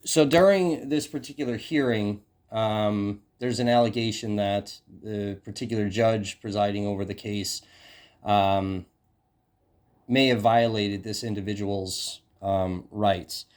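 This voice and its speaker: An American man working as a journalist questions an official in a halting, persistent way, often repeating words as he presses his point. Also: on the low side, darkish tone. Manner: persistent, challenging. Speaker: independent journalist. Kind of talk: questioning an official in a phone interview.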